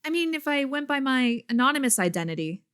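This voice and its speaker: Woman voicing a quirky teen girl, very animated high voice. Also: quick pace, clean tone. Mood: teasing. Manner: dramatic, emphatic, teasing. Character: quirky excitable teenage girl, the Tumblr website personified. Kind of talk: amateur webcomic dub.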